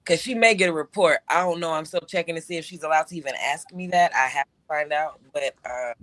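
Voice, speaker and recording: Bright-voiced man telling a story. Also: fairly high pitch, brisk, no room sound. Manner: animated storytelling, frequent restarts and fillers. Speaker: show co-host. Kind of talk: casual podcast chat.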